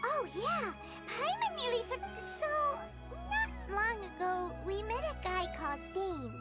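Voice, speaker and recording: An extremely high, childlike voice a tiny fairy companion in a fantasy game. She is chirpy and eager, with big swings in pitch. Music plays clearly behind her. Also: expressive, a little slow. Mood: curious, chatty. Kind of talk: cartoonish fantasy game voice acting.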